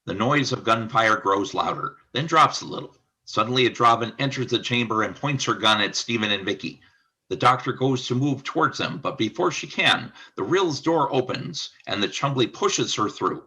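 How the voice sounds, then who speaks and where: Husky, forceful male radio play narrator. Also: mid-range voice, expressive, moderate pace, rough recording. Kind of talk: scripted radio drama narration.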